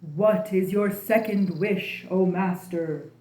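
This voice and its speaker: A woman voicing a grand male genie in a storybook tale, with slow, ceremonious delivery. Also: mid-range voice, warm tone, roomy sound, voice pushed down, lightly acted, poor audio. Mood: grand, commanding. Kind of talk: storybook character voice.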